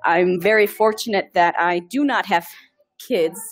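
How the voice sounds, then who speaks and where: A woman's voice: on the low side, unhurried, dry recording. A woman casually introducing herself on an online call in a chatty, rambling way. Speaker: nonprofit team member.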